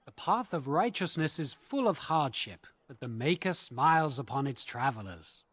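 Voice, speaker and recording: An actor playing a pious man solemnly reciting scripture in a video game, in measured phrases. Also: on the high side, sing-song, a bit bright, husky, no echo, exaggerated voice. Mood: reverent.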